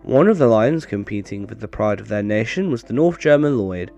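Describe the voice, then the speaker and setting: A man narrating in a wry, deadpan way, his voice slightly off as he recovers from a bad cold. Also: lowish voice, brisk, highs cut off, soft background music. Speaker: video creator and narrator. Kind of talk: scripted narration with dry humour, casual outro.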